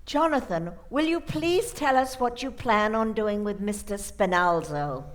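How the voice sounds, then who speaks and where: A woman acting a prim, animated old lady. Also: mid-range voice, a little slow, lightly acted. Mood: indignant. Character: prim, indignant old lady, genteel and firm. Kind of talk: radio play acting.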